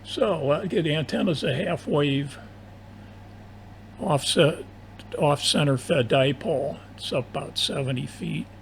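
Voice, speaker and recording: An American man in a casual, technical ham radio conversation, explaining in a halting, rambling way over an AM radio transmission. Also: a little high, creaky. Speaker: amateur radio operator. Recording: noisy AM shortwave radio transmission.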